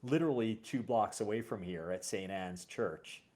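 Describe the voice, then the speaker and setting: A man's voice: fairly low pitch, normal speed, a little mellow. A man hosting a casual conversation about music, talking in a rambling, self-correcting way. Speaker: host.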